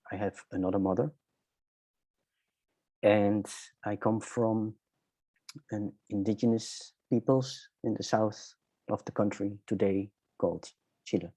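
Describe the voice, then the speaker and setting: A middle-aged man with a Dutch accent talks reflectively about his own life. His voice is low, dark and husky, and he often gropes for the right word. The sound is close and dry. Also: dry recording. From Netherlands. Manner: halting, searching for words. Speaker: interview guest. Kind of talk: reflective personal storytelling in conversation.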